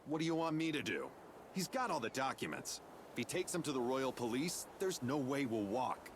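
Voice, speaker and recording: A man's voice: mid-range voice, big pitch swings, moderate pace, background noise, natural voice. A man voicing a shady, pragmatic guild boss in a video game, with a weary, streetwise drawl. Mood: resigned.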